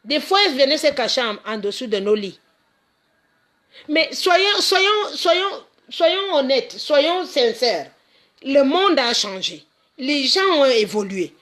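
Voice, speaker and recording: A middle-aged woman from Cameroon delivers a passionate, unscripted monologue. She speaks emphatically, circling back and repeating phrases as she restarts her sentences. Her voice sits somewhat higher than most women's. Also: sing-song, takes it slowly, a bit bright. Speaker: community association founder. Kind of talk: impassioned monologue.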